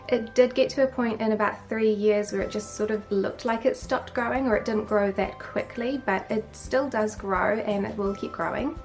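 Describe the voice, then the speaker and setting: A woman's voice: mid-range voice, vocal fry. A young woman from New Zealand with a New Zealand accent, chatty and matter-of-fact, answering viewer questions to camera as a beauty vlogger. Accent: New Zealand, a few English-sounding words. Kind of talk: casual Q&A to camera.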